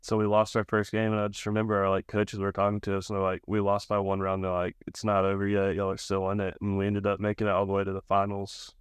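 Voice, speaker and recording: A young man, around college age, giving casual, low-key interview answers as a baseball player. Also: completely flat delivery, fast talker, few pauses, smooth, dry recording, clean studio sound. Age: young adult, about 20.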